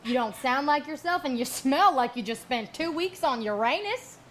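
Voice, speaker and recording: Woman as a high-voiced, blunt, cranky ship's doctor. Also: animated, moderate pace, fairly bright tone, slight background noise. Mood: blunt, exasperated. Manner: blunt, exasperated. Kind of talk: comic stage parody acting.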